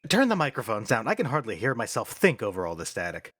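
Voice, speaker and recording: An actor playing a pompous, bossy and exasperated theater manager in a radio play, declaiming theatrically. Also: male voice, on the low side, wildly swooping pitch, quick pace, a bit bright, breathy edge, heavily acted. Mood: impatient, commanding.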